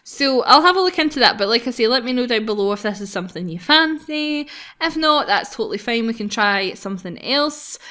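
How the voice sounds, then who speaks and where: A woman's voice: fairly high pitch, expressive, a little fast, bright, forceful tone. A woman hosting a gaming video, reading a visual novel aloud and breaking into casual banter.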